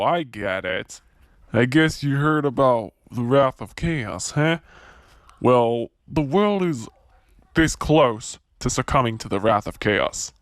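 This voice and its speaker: A man playing an earnest, deliberate hero rallying fellow survivors in an amateur action drama. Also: mid-range voice, no echo, studio quality.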